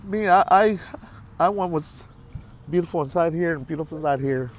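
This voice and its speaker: A man in his late fifties talking candidly to his viewers in a halting, heartfelt way, with many pauses and repeated phrases. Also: on the high side. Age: 50s. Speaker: vlogger. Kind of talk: candid talk to camera.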